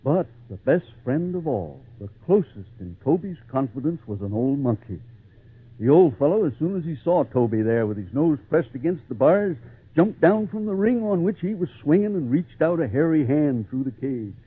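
Vintage radio storyteller. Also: male voice, a little high, expressive, normal speed, slightly rough. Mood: storytelling. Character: friendly storyteller addressing a child. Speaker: narrator. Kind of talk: old-time radio storytelling narration. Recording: tinny band-limited 1940s radio recording.

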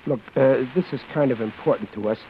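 A man's voice: mid-range voice, a little fast, a little hiss or hum, lightly acted. An actor playing an ordinary, nervous wisecracking guy in a 1940s radio crime drama, with an American accent and slangy, stammering delivery. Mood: anxious. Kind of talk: 1940s radio acting, hardboiled first-person narration. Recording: tinny old radio broadcast with loud music.

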